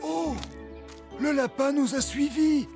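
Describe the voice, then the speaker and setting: A slow, hesitant voice of a shy male farm creature in a children's cartoon. He is gentle and unsure, halting as he goes, over faint music. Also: sits high, fairly even intonation.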